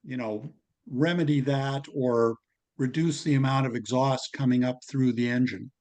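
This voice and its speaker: Mid-pitched man tentatively asking advice. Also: steady tone, moderate pace, darkish tone. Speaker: club member asking for advice. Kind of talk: casual questions on a group call. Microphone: conference call.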